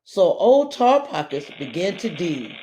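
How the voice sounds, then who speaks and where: Slow, expressive woman reading a story aloud. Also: fairly low pitch, slight background noise, poor audio. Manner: deliberate, expressive, drawn-out phrasing. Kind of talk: dramatic read-aloud narration for children.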